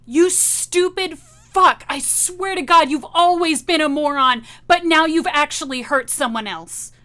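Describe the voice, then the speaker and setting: A woman plays a bitter, betrayed mother in a tabletop drama. Her voice is high and tense, with halting, accusing speech. She sounds desperate and emotional. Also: pushed and bright. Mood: bitter anger.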